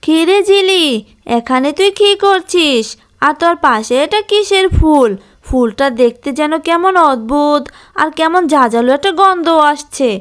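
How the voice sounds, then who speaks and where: A woman's voice: high-pitched, lively intonation, measured pace. A woman voicing a suspicious, scolding, protective mother jackal in a cartoon. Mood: curious, questioning. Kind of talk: cartoon voice acting.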